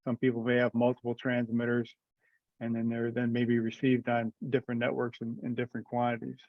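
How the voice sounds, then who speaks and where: A man's voice: medium pitch, completely flat delivery, brisk, dry recording. A man presenting informally in a remote meeting, thinking aloud tentatively and seeking agreement, over muffled audio. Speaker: presenter in a committee teleconference. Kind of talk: informal meeting discussion, explaining a proposal. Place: remote conference call.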